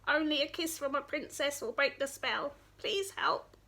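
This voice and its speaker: A woman voicing a small, sad enchanted frog prince with a croaky, plaintive voice. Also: high-pitched, expressive, moderate pace, sharp tone, pitched above the performer's own voice, full character voice. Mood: pleading. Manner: plaintive, pleading.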